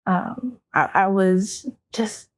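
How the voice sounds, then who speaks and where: A woman's voice: mid-range voice, drawn-out words, dry recording. An American woman giving a candid, emotionally open personal account at a round-table discussion. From Baltimore, United States. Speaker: round-table panelist, army veteran, cancer survivor.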